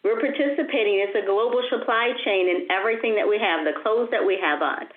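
A woman's voice: mid-range voice, fast. A woman hosting an interview, chatting in a conversational way with frequent restarts and repeated words. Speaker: host. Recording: tinny phone line.

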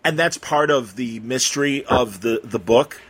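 A man hosting a casual conversational interview, feeling his way through questions with halting, circling phrasing. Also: medium pitch, noisy background. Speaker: interviewer, host.

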